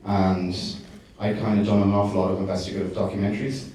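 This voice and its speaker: A low-voiced man fields audience questions after a screening, speaking in a dark, flat tone. He hesitates often and restarts his sentences. His voice comes from a distance in a very echoey hall with faint noise behind it. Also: quick pace. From Ireland. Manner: hesitant, many fillers and restarts. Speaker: documentary director. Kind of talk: festival Q&A answers.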